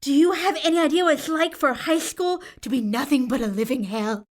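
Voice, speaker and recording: A woman's voice: sits high, fairly quick, natural voice. A woman voicing a pompous, melodramatic, whiny teenage necromancer villain in an audio drama. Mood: wounded desperation.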